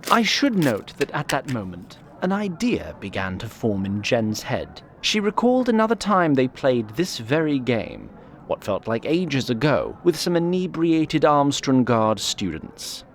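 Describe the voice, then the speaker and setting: A man's voice: medium pitch, sing-song, a little slow. A man narrating a scripted fantasy story in a literary, wry manner.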